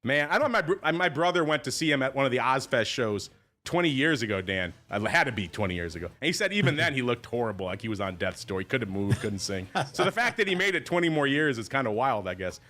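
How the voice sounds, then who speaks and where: A man hosting a podcast, chatting casually and joking around with a deep voice. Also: lively intonation, quick pace, sharp tone. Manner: jokey, rambling. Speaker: podcast host. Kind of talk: casual chat.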